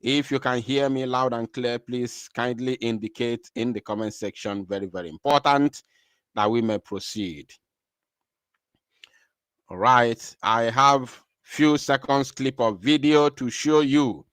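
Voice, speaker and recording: Male livestream host, impassioned and repetitive, mid pitch, dry mic. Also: measured pace, clean tone, dry recording. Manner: emphatic repetition, swings from quiet to loud.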